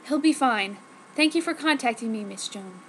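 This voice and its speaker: A woman voicing a kindly middle-aged male priest in a fanfiction story, speaking gently and formally. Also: fairly high pitch, lively intonation, normal speed, a little hiss or hum. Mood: grave.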